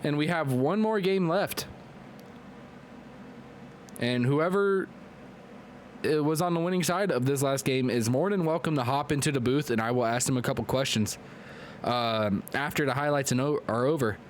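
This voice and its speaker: A man hosting a live stream and excitedly calling play-by-play sports commentary while losing his voice from a clogged nose. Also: mid-range voice, a little hiss or hum. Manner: excitable, hyped play-by-play with quick asides to chat. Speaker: league commentator and stream host.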